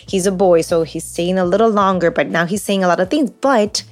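A woman's voice: fairly low pitch, brisk. A young woman from Peru whose first language is Spanish, co-hosting a podcast in a chatty, casual way full of "like" fillers. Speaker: podcast co-host. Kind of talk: casual chat.

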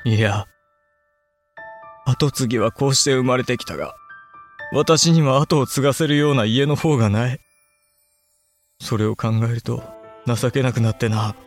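A man's voice: medium pitch, normal speed, pauses often, natural voice. Man playing an earnest young samurai lord, music behind. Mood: wistful. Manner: archaic, formal samurai speech. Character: young samurai lord and new father, earnest, self-doubting. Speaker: voice actor. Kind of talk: Japanese historical audio drama acting.

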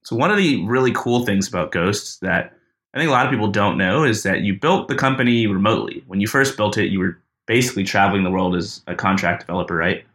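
A man hosting an interview in a very low voice. He asks his questions in a relaxed, conversational way. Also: fast. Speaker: podcast host. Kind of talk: casual interview.

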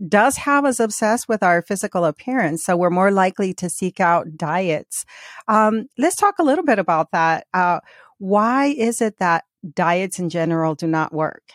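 A woman hosting a podcast, asking interview questions in a measured, conversational way. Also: on the low side, no room sound, studio quality. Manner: measured, scripted intro then conversational questions. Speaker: podcast host.

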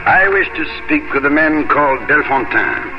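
An actor playing a stern, cold British army captain, formal and commanding, in a 1950s radio drama. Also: male voice, a little high, animated, moderate pace, music in the background, natural voice. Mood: curt, formal. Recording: dull, noisy old radio recording.

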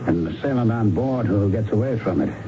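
A man's voice: lowish voice, lively intonation, fairly quick, lightly acted. Man acting a wry investigator, muffled noisy broadcast. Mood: tired. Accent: American. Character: wry, weary male police investigator, calmly in command. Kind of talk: 1970s American radio drama acting.

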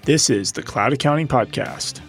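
Gravelly podcast co-host man. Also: medium pitch, lively intonation, normal speed, loud music behind the voice. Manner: chatty, laughs often, reads ads and quotes aloud. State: a little stuffed up from allergies. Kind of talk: casual chat. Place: recording studio.

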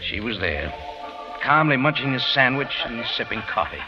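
A man's voice: mid-range voice, sing-song, moderate pace, natural voice. An actor playing a tough, wry American private eye who narrates his case in clipped 1940s radio noir style. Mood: brooding, tense. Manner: clipped, hard-boiled delivery. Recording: dull old radio recording with loud music.

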